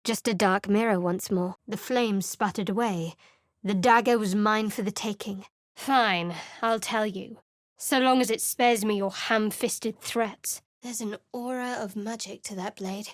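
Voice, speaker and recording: A woman's voice: medium pitch, fairly even intonation, a little slow, no room sound, close to an everyday voice. An actress voicing a guarded, sardonic young female cleric in a video game, recorded close in a studio booth. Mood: dry. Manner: dry, cool and sardonic. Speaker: game character voice actor. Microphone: close studio microphone.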